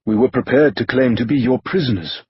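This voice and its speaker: Brief: short elderly gentleman, calm and clever, dry delivery, read by a man. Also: mid-range voice, a little fast, slightly rough, no room sound, dull audio.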